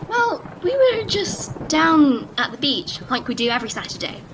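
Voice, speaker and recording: Actress as forthright mother, lilting high voice, very animated, audio drama. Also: moderate pace. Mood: worried. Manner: chatty, no-nonsense. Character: forthright Welsh mother running a boat rental, protective. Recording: noisy ambience effects behind.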